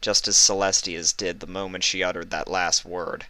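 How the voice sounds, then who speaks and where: A man reading a fan fiction story aloud as expressive scripted narration. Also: sits low, moderate pace, no echo.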